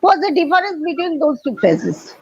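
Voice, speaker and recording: A woman hosting an interview, introducing and questioning her guest in formal, halting phrasing. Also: high-pitched, big pitch swings, gravelly, dull audio. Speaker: host.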